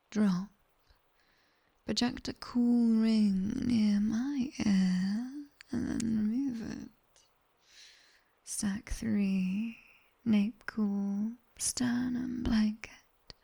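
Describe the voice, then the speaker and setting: Woman acting British female demon tutor, breathy whisper, mellow, unhurried, sharp-tongued, strict, teasing, ASMR roleplay. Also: mid-range voice, exaggerated voice. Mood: calm, instructing. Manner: slow, measured, commanding.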